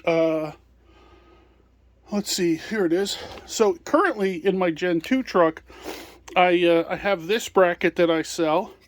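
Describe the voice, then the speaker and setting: Casting: male product presenter, notably high-pitched, informal hands-on walkthrough delivery. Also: slow. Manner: hands-on explaining while handling items.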